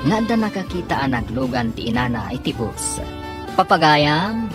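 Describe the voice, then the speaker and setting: A man narrates a radio drama with an Ilocano accent. His medium-pitched voice swings expressively through the scripted announcements, with music clearly playing behind him.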